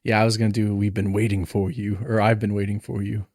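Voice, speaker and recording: A man's voice: lowish voice, little pitch movement, quick pace, darkish tone, dry recording, clean studio sound. A man co-hosting a podcast, chatting casually and rambling with plenty of fillers. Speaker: podcast co-host. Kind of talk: casual chat.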